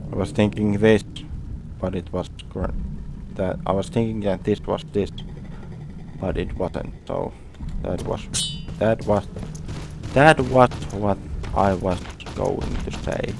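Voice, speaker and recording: A man's voice: lowish voice, fairly even intonation, slow, creaky, noisy background. A man with a Finnish accent casually commentating his gameplay, a Finnish native speaker hesitating and searching for English words. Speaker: gaming channel host. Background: game music.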